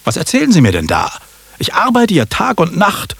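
Raspy, wildly swooping grumpy magician. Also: male voice, sits high, huge pitch swings, moderate pace, a bit bright, dry recording, heavily acted. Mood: indignant. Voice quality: raspy, gravelly. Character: irritable, overworked, weary small-time magician. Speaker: radio drama actor. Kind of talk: radio comedy drama acting.

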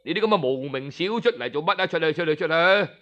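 A haughty male gatekeeper from a told folk story, played by a man narrating, scolds in Cantonese-accented speech. He is scornful and bullying, barking brusque dismissals in a fairly high, animated voice. The recording is a little dull. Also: fast talker. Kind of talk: acted quote in oral storytelling.

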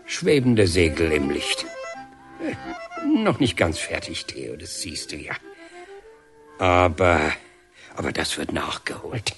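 An actor playing an expansive, sentimental older painter in a radio drama, making a halting, theatrical speech. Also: male voice, low voice, very animated, music in the background, full character voice. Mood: earnest. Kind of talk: German radio drama acting.

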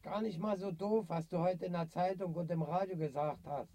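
An actor in a German radio drama playing a wry drinking friend, chatting casually. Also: male voice, sits high, steady tone, normal speed, mellow, natural voice.

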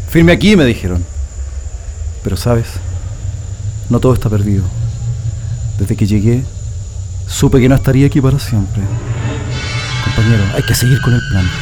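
A man's voice: mid-range voice, warm tone, slight background noise, close to an everyday voice. An actor with a Chilean accent playing a defiant male political prisoner in a radio drama, earnest and emphatic. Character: defiant male political prisoner under a dictatorship, grieving and organizing resistance. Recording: music bed under the voice.

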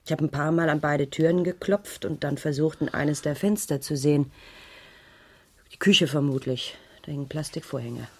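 An actress in a German radio crime play playing an uneasy married schoolteacher who gives a hesitant, detailed account to police. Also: female voice, sits low, moderate pace, husky. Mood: uneasy, recounting. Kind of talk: 1980s German radio drama dialogue.

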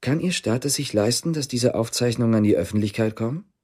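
An actor in a German radio drama playing a determined, guarded East German investigator with measured, deliberate delivery. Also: male voice, mid-range voice, a little mellow, breathy edge, close to an everyday voice. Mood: firm, accusing.

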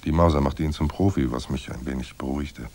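An actor playing a hard-boiled private detective in a noir radio drama, dry, laconic and sardonic. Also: male voice, extremely low pitch, brisk, a little mellow. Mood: dry, wry. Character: hard-boiled male private detective narrating, wry and unflappable. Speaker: narrator and lead actor.

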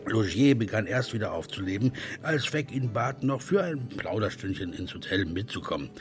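A man's voice: lowish voice, lively intonation, normal speed, faint music behind. A man narrating a literary radio play as one of its storytellers.

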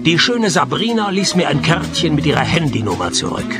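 An actor playing a wry, world-weary private detective who narrates his cases in a laconic, deadpan radio play style. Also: fairly high pitch, brisk, loud music behind the voice. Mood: dry, sardonic. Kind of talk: German-language detective radio play, first-person narration and dialogue.

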